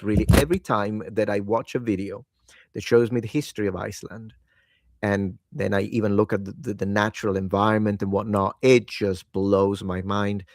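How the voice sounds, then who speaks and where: A man whose first language is Italian chats late at night in a somewhat low voice. He rambles with excitement and catches and corrects himself mid-sentence. The sound is dry and close, with no room echo. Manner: enthusiastic, rambling, self-correcting. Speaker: language-learning video host. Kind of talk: casual solo talk to camera.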